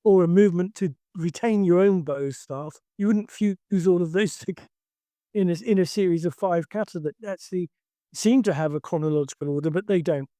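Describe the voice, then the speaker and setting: A man's voice: sits high, fairly quick, mellow, no room sound, studio quality. An older man who teaches karate and talks emphatically in a discussion, restarting his sentences often.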